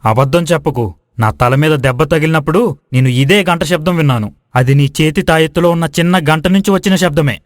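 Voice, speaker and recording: A man's voice: on the high side, a little fast, no room sound, clean studio sound, natural voice. Man acting a bold, stubborn young villager. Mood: accusing. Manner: assertive, argumentative. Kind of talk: acted moral-story dialogue.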